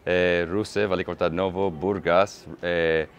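A man in his thirties hosting a travel vlog, chatty and excited, slipping between languages as he walks outdoors with a lapel mic. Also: low voice, a little slow. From United States. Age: 30s. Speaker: travel vlogger host. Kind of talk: casual travel vlog chat. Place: outdoors on a bridge and city streets.

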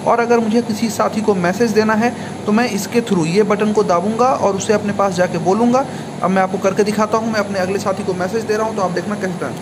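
A man's voice: high-pitched, steady tone, a little fast. A young man gives an informal how-to tutorial, often adding a short checking tag after each explanation. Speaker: video tutorial host. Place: indoors at a table. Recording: noisy room, clear background hum.

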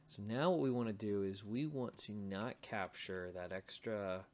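Male tutorial host, lowish voice, very unhurried, thinks aloud over keyboard typing and clicks. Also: darkish tone. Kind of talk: casual screencast coding walkthrough. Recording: typing and mouse clicks, faint noise. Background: computer keyboard typing and clicks.

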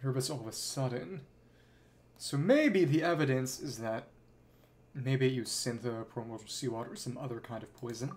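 A man's voice: medium pitch, moderate pace, a little mellow. A man streaming a video game, thinking aloud in casual, rambling commentary and reading bits of dialogue. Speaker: livestreamer playing a video game.

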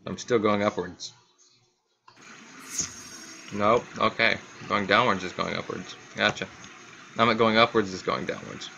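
A man with a bright, slightly low voice gives casual gameplay commentary. He reacts offhandedly as he plays, over faint noise and game ambience on a home recording. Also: measured pace, creaky, long pauses, dull audio. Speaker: gaming video host.

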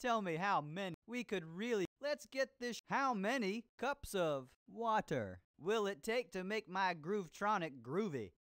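Flamboyant, swooping disco TV chef. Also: male voice, sits high, big pitch swings, fairly bright tone, no echo, clean studio sound, full character voice. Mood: exuberant, groovy. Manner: jive-talking, showy, drawn-out exclamations. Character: exuberant groovy male disco cooking show host. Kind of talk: educational video game character voice acting. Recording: disco music behind the voice.

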